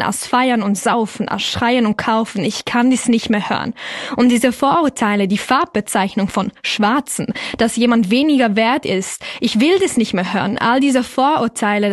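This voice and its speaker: A woman's voice: a little high, dry recording, studio quality. A woman in her early twenties from Switzerland, with a Swiss German accent. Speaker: slam poet, interview guest.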